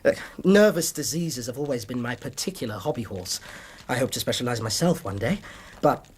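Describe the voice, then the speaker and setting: An actor playing a polite, modest young English doctor in a period radio drama, speaking with a courteous, hesitant and precise manner. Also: male voice, medium pitch, animated, brisk, breathy edge. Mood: polite, nervous. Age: young adult. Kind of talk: period radio drama acting, narrating his story.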